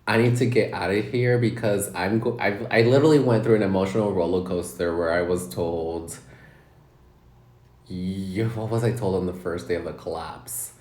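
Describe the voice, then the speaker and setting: This is a very deep-voiced man chatting casually on a podcast. He tells personal stories openly and laughs easily. The room around him is echoey. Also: moderate pace, darkish tone. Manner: laughs often, candid storytelling. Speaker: podcast co-host.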